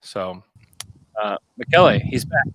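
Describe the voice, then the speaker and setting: A man's voice: fairly low pitch, big pitch swings, a little slow, fairly bright tone, low-quality recording. A man hosting a sports podcast, chatting casually about basketball, thinking aloud and repeating points for emphasis.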